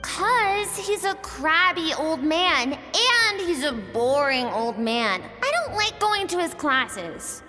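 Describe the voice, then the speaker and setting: A defiant little girl in a game, very high and bright, blunt and excitable, with lively swings of pitch. She speaks like an adventurous child who says whatever she thinks. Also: takes it slowly, hardly any pauses, exaggerated voice. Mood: sulky, defiant. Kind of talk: video game voice acting. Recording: long reverb, music behind. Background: music.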